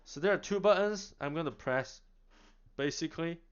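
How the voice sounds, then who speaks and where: A man casually demonstrating radio gear, chatty and animated, laughing now and then as he explains. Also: a little high, moderate pace, clear, resonant tone, highs cut off. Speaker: product demonstrator. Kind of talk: casual product demo.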